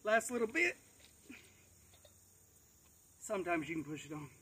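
A man's voice: sits high, very animated, unhurried, bright, forceful tone. An American man in his thirties or forties giving a casual, folksy hands-on tutorial, talking while he works. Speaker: do-it-yourself demonstrator. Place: outdoors in a cluttered rural yard. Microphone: camera a few feet away. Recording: outdoor camera audio, faint insect noise.

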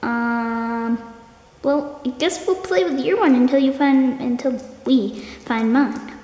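A woman voicing a whiny, indignant young sock puppet in a homemade puppet show, with dramatic, drawn-out complaints. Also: high voice, a little mellow. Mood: puzzled. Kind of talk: improvised amateur puppet show dialogue. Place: indoors, room at home with wooden floor. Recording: echoey hard-floored room, faint hiss.

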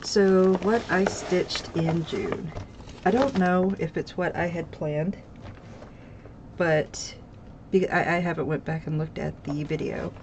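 A woman's voice: fairly low pitch, fairly even intonation, slow, noisy background. A woman with a stuffy, slightly nasal voice, rambling casually through her crafting projects at home, with many ums and restarts. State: congested, a bit nasal. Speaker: craft channel host. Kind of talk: casual chat showing stitching projects. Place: home craft table, indoors.

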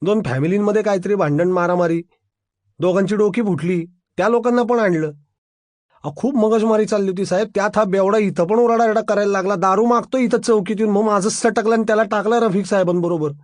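Man doing a terrified, stammering constable, high-pitched. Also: normal speed, no room sound, studio quality, close to an everyday voice. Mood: defensive, whining. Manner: stammering, repeating himself, whiny. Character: frightened, flustered male constable pleading and making excuses. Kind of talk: Marathi crime audio drama.

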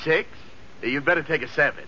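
A man's voice: on the high side, fairly quick, sharp tone. An actor playing a fussy, sardonic clothing salesman in a 1940s radio comedy. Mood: fussy, insistent. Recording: dull, hissy old radio recording.

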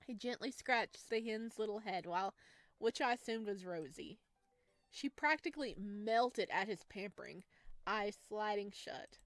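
A woman streaming a visual novel, reading the story aloud and breaking in with excited, gushing asides about cute things. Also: mid-range voice, unhurried, no room sound, faint music behind. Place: close indoor mic, voice over game footage.

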